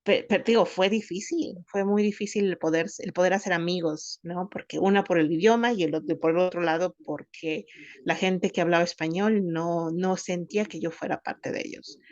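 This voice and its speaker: A woman, co-hosting a podcast and speaking thoughtfully in halting phrases. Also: lowish voice, no room sound, muffled recording. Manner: thoughtful, halting, many restarts. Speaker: podcast co-host. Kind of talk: casual conversational podcast.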